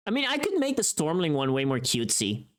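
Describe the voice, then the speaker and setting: A woman's voice: deep voice, wildly swooping pitch, fast. A woman livestreamer chatting casually in a playful, teasing, informal way, often thinking aloud and trailing off.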